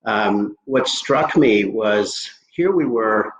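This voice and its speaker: A Canadian man in his sixties introducing himself casually on a video call from home, relaxed with many fillers. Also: on the low side, slow pace. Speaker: panelist. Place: home room at night, indoors. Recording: echoey, dull video call audio.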